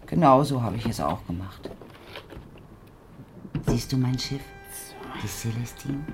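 Radio play mom, middle-aged waitress, dreamy and naggy, deep voice, really swoopy delivery. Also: female voice, expressive, measured pace, faint music behind. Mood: wistful, brooding. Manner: theatrical, swings between nagging and wistful. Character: boy's dreamy, scolding waitress mother. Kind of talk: German radio drama acting.

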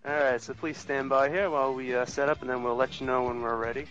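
A man's voice: mid-range voice, fairly even intonation, fast talker, fairly bright tone. A man acting as a radio host, casually giving polite, hesitant instructions over a phone call. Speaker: prank caller posing as radio station host. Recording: muffled lo-fi phone call with music.

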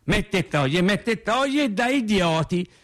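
A male actor playing a wry storyteller recalling boyhood with childlike logic, in an expressive, conversational theatrical monologue. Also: sits high, a little slow. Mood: wry, self-justifying. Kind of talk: theatrical first-person radio monologue.

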